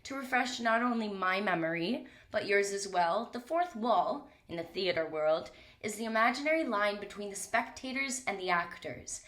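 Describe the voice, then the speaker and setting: A woman's voice: mid-range voice, animated, moderate pace, fairly bright tone. Chatty young woman, slightly stuffed up. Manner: candid, chatty and rambling, with casual swearing. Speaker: podcast host. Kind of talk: solo podcast monologue. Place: small closet. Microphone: podcast mic.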